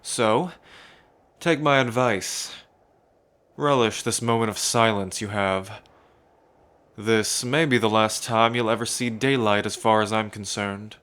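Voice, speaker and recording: A man's voice: medium pitch, a bit bright. A man playing an arrogant, sadistic would-be emperor in an ASMR roleplay, with a pompous, gloating manner. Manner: pompous, gloating, with frequent smug chuckles. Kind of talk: ASMR roleplay villain monologue.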